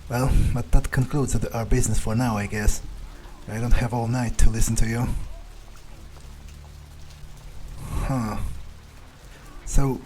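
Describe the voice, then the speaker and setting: A man roleplaying a self-assured, inquisitive fledgling vampire in a game playthrough, speaking in a halting, musing way. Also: medium pitch, steady tone, a little mellow, a little hiss or hum, close to an everyday voice, rough recording. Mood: smug. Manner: halting, musing, hesitant pauses. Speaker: gameplay video player. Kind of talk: in-character roleplay commentary.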